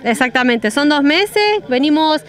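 A woman's voice: high-pitched, measured pace, fairly bright tone, some chatter behind. A young woman from Monte Grande with a Buenos Aires Spanish accent, explaining her van travels in an upbeat street interview on a handheld mic. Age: young adult. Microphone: handheld reporter mic.

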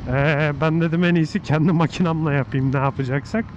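A man's voice: a little high, steady tone, a little fast, a little mellow, a little hiss or hum. Chatty man on a motorcycle, muffled. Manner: chatty and informal, calls the viewer "abi", muses aloud. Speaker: motorcycle vlogger reviewing a bike. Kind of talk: casual spoken commentary while riding. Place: on a motorcycle in city traffic.

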